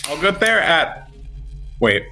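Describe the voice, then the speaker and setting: A man's voice: fairly high pitch, very animated, slow pace, pushed and bright, soft background music. A man playing a tabletop game, bantering casually with sarcasm and swearing.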